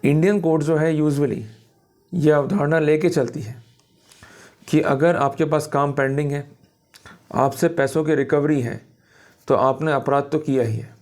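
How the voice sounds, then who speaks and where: A man's voice: on the high side, steady tone, unhurried, pauses often, darkish tone. An Indian man lecturing in an emphatic, didactic manner, often checking that listeners follow, as a law teacher. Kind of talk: instructional law lecture in Hindi mixed with English terms.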